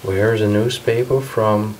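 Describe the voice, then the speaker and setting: A young man talks his way through an abandoned house, curious and pointing things out as he goes. His voice sits low and stays flat. The camera audio carries clear background noise. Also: unhurried, low-quality recording. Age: young adult. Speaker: urban explorer. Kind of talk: casual walkthrough narration.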